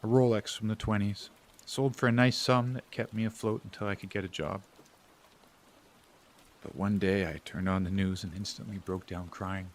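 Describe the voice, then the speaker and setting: A man's voice: on the low side, fairly even intonation, moderate pace, slight background noise, natural voice. A man acting in an audio drama as a bitter, struggling family man and older brother, earnest and pressing. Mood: sombre, reflective.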